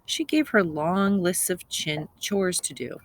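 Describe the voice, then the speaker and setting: A woman's voice: lowish voice, expressive, slow, dry recording. A woman reading aloud to young children as a teacher, explaining simply and repeating key points. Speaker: second grade teacher.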